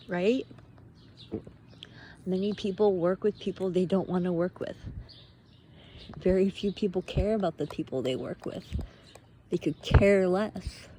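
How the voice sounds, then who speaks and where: A woman talks slowly and reflectively, letting her phrases hang and repeat as she thinks aloud. Her voice is soft-edged and mellow. She is outdoors, with faint natural noise and birds around her. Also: medium pitch. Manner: musing, halting, drawn-out phrases. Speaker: host of a personal walking monologue. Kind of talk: unscripted reflective monologue.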